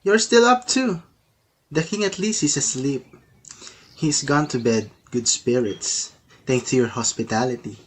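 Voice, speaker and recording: Steadfast, amiable noble father in a radio play, played by a man; earnest, average pitch, light background noise. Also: measured pace, close to an everyday voice. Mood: friendly, earnest. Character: Banquo, loyal friendly nobleman, a father. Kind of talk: radio drama acting.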